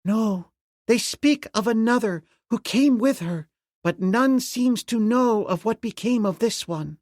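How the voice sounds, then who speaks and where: A man's voice: high-pitched, animated, measured pace, dry recording, clean studio sound, voice pushed up. A man voicing a loyal, earnest young slave girl in a storybook reading, speaking emphatically.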